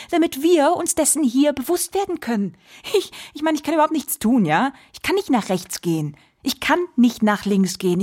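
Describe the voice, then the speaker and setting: A woman's voice: fairly high pitch, darkish tone. A woman playing an anguished, guilt-ridden, agitated activist in a theatrical monologue, exclamatory and repetitive. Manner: exclamatory, repetitive, swinging between whisper and outburst.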